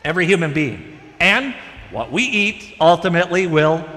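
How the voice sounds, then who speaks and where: A middle-aged man preaching a sermon from the pulpit, animated and conversational. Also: on the high side, normal speed. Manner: animated preaching with wide gestures, moving about behind the pulpit. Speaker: pastor. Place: large church sanctuary. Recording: long church reverb.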